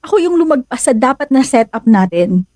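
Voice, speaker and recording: A woman's voice: high-pitched, big pitch swings, a little fast, dark tone, no room sound, clean studio sound. An actress with a Filipino accent playing a guarded young woman in love in a radio drama, sounding wounded and emotional. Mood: guarded, resigned.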